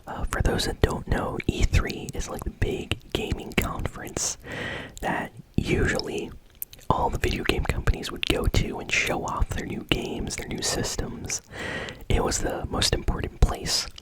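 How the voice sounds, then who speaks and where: Breathy whisper, unvoiced, ASMR host, casual gaming news commentary, conversational and chatty. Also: a little slow, rough recording.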